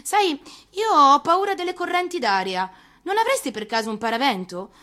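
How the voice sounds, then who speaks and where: Woman voicing a vain, high-pitched storybook flower. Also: very animated, moderate pace, bright, forceful tone, heavily acted. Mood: demanding, coy. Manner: affected, demanding, sighing airs. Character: vain, proud, coquettish flower, needy and theatrical.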